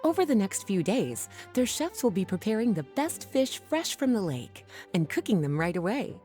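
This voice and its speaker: An actress playing a poised, gently teasing woman in a video game, with languid, articulate, coaxing delivery. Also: mid-range voice, big pitch swings, moderate pace, faint music behind, close to an everyday voice. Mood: playful, gracious. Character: Lisa, poised, teasing adult woman, caring librarian. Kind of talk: video game cutscene voice acting.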